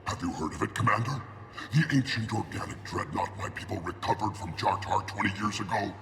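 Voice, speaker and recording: A bitter proud alien officer from a game, low gravelly growl, menacing and desperate. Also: male voice, brisk, fairly bright tone. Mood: bitter menace. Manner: menacing, accusing. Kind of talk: dramatic video game acting.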